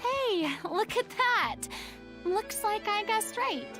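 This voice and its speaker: A theatrical young game heroine, proud and strong-willed, in a high register. She speaks articulately with a haughty, dramatic edge over prominent music. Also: expressive, slow, exaggerated voice. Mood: confident, brisk. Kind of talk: video game voice acting. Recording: music behind the voice.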